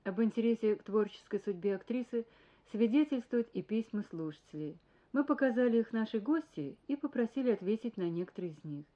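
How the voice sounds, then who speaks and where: A woman's voice: a little high, expressive, fairly quick, dark tone. A woman announcer formally reading an introduction for a radio program. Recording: dull, lo-fi archival radio recording.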